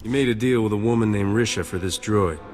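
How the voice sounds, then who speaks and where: An actor playing a cocky, flirtatious space smuggler in a video game, with a casual, roguish delivery. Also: male voice, fairly low pitch, quick pace, a little mellow, faint music behind, lightly acted. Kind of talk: video game cutscene acting.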